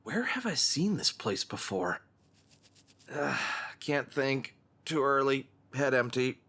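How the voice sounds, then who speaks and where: Man as a bright, animated young fox hero. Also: mid-range voice, slow pace, husky, heavily acted. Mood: puzzled. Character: young male fox adventurer, earnest, cocky, scatterbrained. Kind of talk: audio drama cartoon acting.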